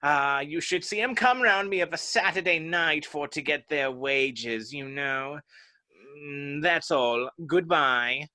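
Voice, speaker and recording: A man's voice: a little high, takes it slowly, sharp tone, full character voice. A man playing a haughty, touchy, pedantic egg character in a dramatic reading of a children's classic. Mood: pedantic, dismissive.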